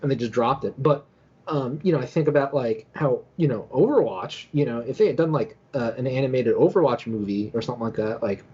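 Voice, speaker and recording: Male co-host, raspy mid-range voice, loose rambling conversational delivery, dulled recording. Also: medium pitch, a little fast, dark tone. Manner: rambling, filler-heavy with many "you know"s. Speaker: podcast co-host. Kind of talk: casual chat. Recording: dull, lo-fi voice recording.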